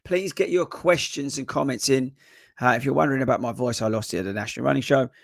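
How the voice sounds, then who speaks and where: A man, a running coach, hosts a live-stream Q&A in a hoarse voice that is only just coming back, chatting loosely with many fillers. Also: medium pitch, fast talker, dry recording, studio quality. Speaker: running coach and podcast host. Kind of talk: casual live-stream chat. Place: home desk.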